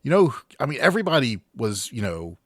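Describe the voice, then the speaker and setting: A man's voice: medium pitch, big pitch swings, brisk, dry recording, clean studio sound. A man co-hosting a casual comedy podcast, irreverent and teasing, with plenty of swearing. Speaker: podcast co-host.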